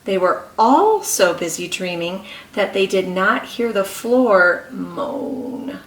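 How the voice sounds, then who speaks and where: A woman kindergarten teacher reading a story aloud to young children, expressive and encouraging, pausing to ask them questions. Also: mid-range voice, distant mic. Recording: echoey room.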